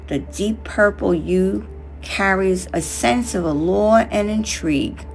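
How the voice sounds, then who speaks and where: A woman hosting a craft tutorial, narrating from a script in a measured, reflective way, in short phrases. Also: on the low side, vocal fry.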